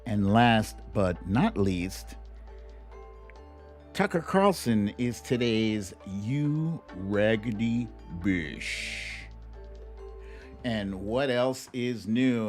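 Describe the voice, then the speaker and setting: A middle-aged American man chatting casually and playfully with viewers as host of a livestream. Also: mid-range voice. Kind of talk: casual live chat with viewers. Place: home studio.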